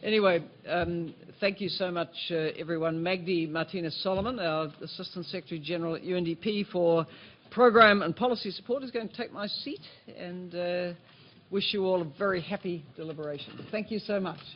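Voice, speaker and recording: A man chairing a meeting, handing over to the next speaker in a relaxed, informal way with many fillers. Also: high voice, brisk, highs cut off. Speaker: meeting chair from the organizing agency.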